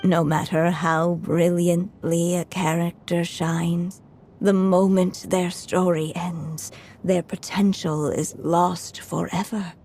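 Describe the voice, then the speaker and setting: An actress voicing Anya, a frail, aging writer in a game cutscene, speaking softly and slowly with a breathy edge. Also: female voice, fairly low pitch, level tone, a little hiss or hum. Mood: wistful. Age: older. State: weak, coughing. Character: old woman writer Anya, frail, wistful, resolved. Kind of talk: story cutscene voice acting. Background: soft music.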